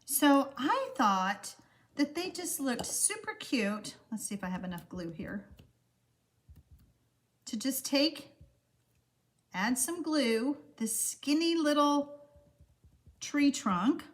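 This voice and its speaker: Woman host, middle-aged, slightly froggy voice, bright lively pitch, chatty craft demo. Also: takes it slowly, pauses often. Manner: chatty, talks to viewers while working. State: froggy voice from allergies. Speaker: card-making demonstrator hosting a livestream. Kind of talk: casual livestream craft demonstration.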